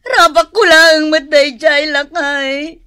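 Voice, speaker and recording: Very high, bright Ilocano mischievous village woman, slow whining drawl, comedy radio drama. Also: fairly even intonation, heavily acted. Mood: tearful pleading. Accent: Filipino, Ilocano. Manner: wailing, pleading, drawn-out delivery. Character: mischievous, whiny village woman gossip and prankster.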